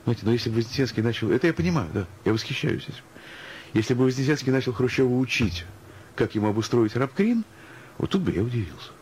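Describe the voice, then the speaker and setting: A man's voice: mid-range voice, very animated, fast talker, darkish tone, slight background noise. A man speaking as a guest in a radio interview, musing and ironic, arguing through anecdotes and examples. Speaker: interview guest, music professional.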